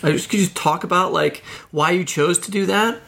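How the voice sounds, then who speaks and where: A man with a fairly high voice and a gravelly creak conducts a casual interview. His questions are informal and wind around before they land. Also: moderate pace, a crowd in the background. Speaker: podcast host, interviewer.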